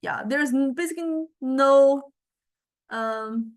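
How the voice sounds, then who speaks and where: A woman lecturer with a somewhat high voice talks through an informal software demonstration. She speaks haltingly, thinking aloud and feeling around for the right words as she explains.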